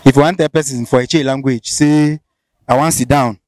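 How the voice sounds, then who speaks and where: A man's voice: mid-range voice, fairly even intonation, moderate pace, a little mellow. A man with a Nigerian Pidgin accent interviewing someone, asking probing questions and repeating them. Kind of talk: casual street interview.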